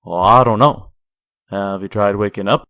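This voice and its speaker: A man's voice: fairly low pitch, pushed and bright, no echo, studio quality. A man playing a sardonic dead male villain in a comic dub, wry and mocking. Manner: wry, mocking, drawn-out endearments.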